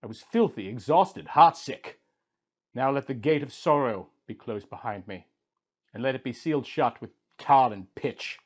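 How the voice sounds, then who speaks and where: A man's voice: medium pitch, animated, moderate pace, slightly rough. A man voicing an anguished, weary hero-king mourning in a declamatory, dramatic reading of an ancient epic. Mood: heartsick. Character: Gilgamesh, anguished grieving male hero-king, weary wanderer.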